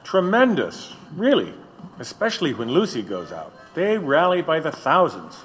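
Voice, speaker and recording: An actor playing an upbeat gentleman supporter with an eager, bantering manner in an audio drama. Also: on the high side, wildly swooping pitch, normal speed, soft background music. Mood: enthusiastic. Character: upbeat, teasing gentleman supporter visiting jailed friends.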